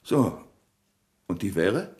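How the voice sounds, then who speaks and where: An actor playing a pedantic, indignant bank manager in a 1970s radio comedy, formal and easily affronted. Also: male voice, fairly low pitch, big pitch swings, slow, a little mellow.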